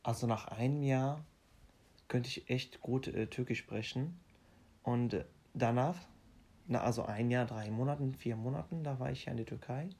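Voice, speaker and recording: Young man, mid-pitched, halting interviewee who restarts his sentences. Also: a little mellow, slightly rough. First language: Arabic. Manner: halting, restarts often, simple phrasing. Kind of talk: casual interview.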